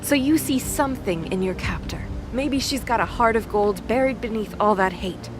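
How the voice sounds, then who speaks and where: A woman's voice: on the high side, normal speed, background noise, close to an everyday voice. A woman playing a stern, determined young warrior goddess in an audio drama, blunt and exasperated. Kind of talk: audio drama character acting.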